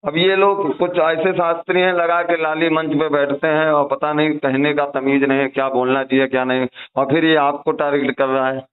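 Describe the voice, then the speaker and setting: Tinny phone-line man, higher-pitched and halting. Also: little pitch movement. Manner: hesitant, halting delivery with repeated false starts. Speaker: caller. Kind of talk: deferential phone conversation. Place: phone call. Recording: tinny narrowband phone call recording.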